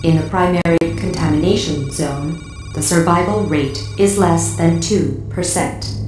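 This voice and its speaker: A woman's voice: fairly low pitch, unhurried, lightly acted. A woman voicing an impassive facility computer in a video game, giving clipped, formal, impersonal alerts. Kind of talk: video game cutscene announcement. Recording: echoing, music under the voice.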